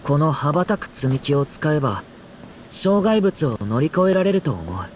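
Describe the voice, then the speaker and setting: A woman voicing a gentle, earnest, thoughtful boy in a Japanese video game, considerate and encouraging. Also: sits low, big pitch swings, fast, noisy background. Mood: calm, helpful. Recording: game sound effects behind voice.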